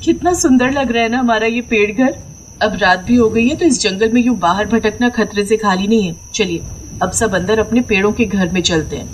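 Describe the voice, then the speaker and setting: A woman voicing poor, resourceful young village daughters-in-law in an animated moral story, expressive and full of exclamations. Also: medium pitch, moderate pace, slight background noise, close to an everyday voice. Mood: content.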